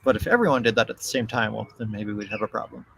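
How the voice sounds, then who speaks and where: A man co-hosting a casual live Q&A stream from home, easygoing and quick to laugh as he reads viewer messages aloud. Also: on the low side, sing-song, creaky, fairly bright tone, slight background noise. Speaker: co-host of a travel channel live stream. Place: home in Mexico.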